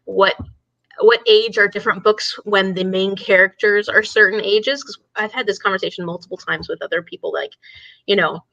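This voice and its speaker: A woman with a bright, forward voice hosts a casual book discussion. She talks in a conversational, wandering way. The sound is very dry and a little lo-fi. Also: mid-range voice, steady tone, normal speed, vocal fry, dry recording. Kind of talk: casual chat.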